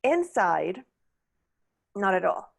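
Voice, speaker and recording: A woman's voice: medium pitch, big pitch swings, slow, creaky, husky. A woman from Poland telling her personal story as a podcast guest, candid and searching, often restarting her sentences.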